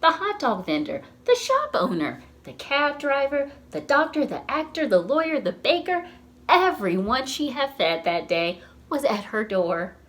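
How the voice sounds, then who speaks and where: A woman reading a picture book aloud to young children, expressively and with questions to the listeners. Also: sits high. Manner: animated, slow, asks the listeners questions. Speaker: children's storytime reader at a library.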